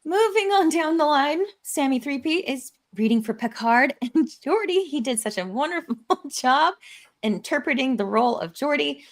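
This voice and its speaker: Higher-pitched woman hosting a stream. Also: animated, moderate pace. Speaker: host and director of a live script table read. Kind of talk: casual livestream hosting.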